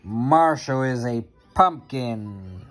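An expressive reader with a sing-song delivery, reading a children's picture book aloud into a phone at home. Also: medium pitch, drawn-out words. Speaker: storybook reader. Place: carpeted playroom at home. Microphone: handheld phone near the reader.

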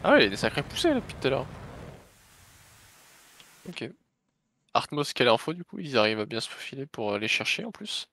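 A man's voice: medium pitch, fairly quick, long pauses. A man co-commentating a flight simulator tournament, calling the action and counting down missile shots. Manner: energetic play-by-play, counts down shots. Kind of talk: live esports play-by-play.

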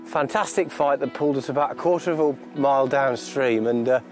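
English bloke fishing off a boat, all excited and chatty, mid voice, music playing behind. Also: male voice, medium pitch, fairly quick. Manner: enthusiastic, chatty. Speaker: fishing show host. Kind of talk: outdoor fishing chat and piece to camera. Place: small boat on a river outdoors.

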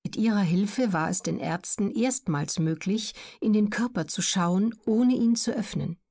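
A woman narrating scripted educational documentary material as an audiobook reader, measured and carefully articulated. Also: mid-range voice, gravelly, mellow.

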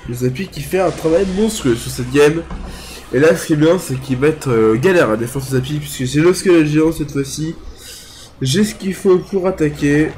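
Young guy with a cold, nasal and stuffy, chatting thru his game, a bit high voice, faint hiss behind. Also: moderate pace, a little mellow. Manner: chatty, thinks aloud while playing. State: congested, talking through the nose from a cold. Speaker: gaming youtuber. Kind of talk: casual gameplay commentary.

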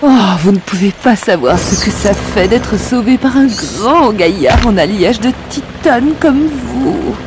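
A flirty robot pop star. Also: female voice, a little high, lively intonation, measured pace, hardly any pauses, background noise. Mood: sly. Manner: sultry, coquettish. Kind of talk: French video game dub. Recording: game audio with music bed.

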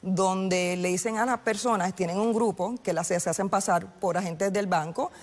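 Lady agent on a morning show, middle pitch, bit of reverb, lots of restarts. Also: fairly even intonation, moderate pace. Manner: explanatory, many fillers and restarts. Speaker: federal agent guest. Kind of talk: TV studio interview. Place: TV news studio.

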